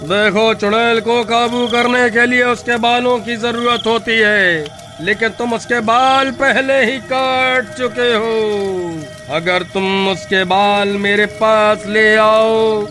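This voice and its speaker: A man as a slow, solemn occult healer. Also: sits high, steady tone. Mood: solemn warning. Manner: measured, solemn, warning. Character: grave male village occult healer, stern and knowing. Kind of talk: Hindi horror cartoon voice acting. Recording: music behind the voice.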